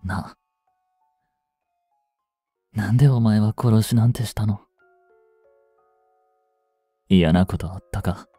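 Guy voicing a heartbroken young cop, audio drama, husky low murmur, close dry mic, music behind. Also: no room sound. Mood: numb grief. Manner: hushed, slow, bitter, intimate murmur. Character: grieving young policeman mourning his criminal girlfriend, tender. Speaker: voice actor. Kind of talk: Japanese audio drama monologue.

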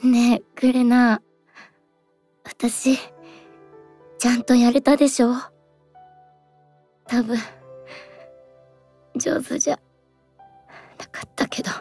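An actress playing a gentle, devoted fox spirit in an anime audio drama, speaking wistfully and breathily in a western Japanese dialect. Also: female voice, on the high side, level tone, slow, long pauses, soft background music. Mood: fond, fading.

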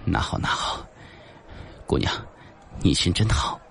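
A man's voice: unhurried, fairly bright tone, a little hiss or hum, close to an everyday voice. A man voicing a predator who pretends to be a weak, wounded victim in an audiobook, stammering and breathless. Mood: feebly grateful. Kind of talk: audiobook character acting.

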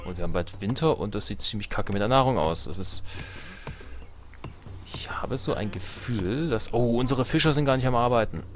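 A man's voice: medium pitch, animated, moderate pace, a little hiss or hum. A man giving casual, jokey let's play commentary on a strategy game, muttering to himself and to the game's characters. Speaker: let's player, host. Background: game music and sounds.

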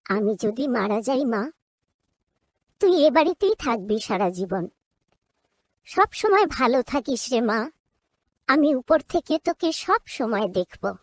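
A tender older housewife from a cartoon drama, acted by a woman, with a notably high voice. She speaks in a coaxing, emotional, motherly way, recorded close and dry. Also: big pitch swings, slow, long pauses, no room sound, full character voice. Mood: sorrowful, tender. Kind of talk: cartoon story voice acting.

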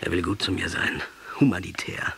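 An actor playing a proud, defiant middle-aged guerrilla commander in captivity, wry and commanding, in a radio drama. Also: male voice, low-pitched, steady tone, moderate pace, creaky, a bit bright, whispered. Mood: low, musing.

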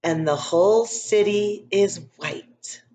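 A woman hosting a read-aloud for young children, reading a picture book expressively and deliberately with chatty asides. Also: medium pitch, darkish tone. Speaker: school read-aloud host.

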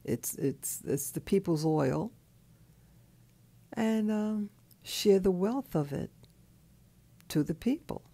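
A woman who has just woken up, talking in a soft, hoarse, half-whispered voice from her bed, slow and halting, with a clip-on mic. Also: medium pitch, expressive, no room sound. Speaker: channel host. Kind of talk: early morning monologue. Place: in bed at night.

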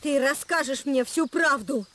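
An actress voicing a fierce, distrustful, stubborn wife in an adventure cartoon, with a sharp, accusing manner. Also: female voice, high voice, measured pace, a little hiss or hum. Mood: furious. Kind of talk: animated adventure cartoon dub.

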